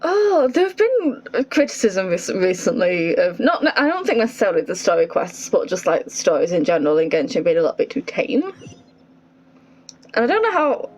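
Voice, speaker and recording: A woman's voice: on the low side, big pitch swings, moderate pace, darkish tone, low-quality recording. A woman giving casual, reactive commentary as she streams a video game.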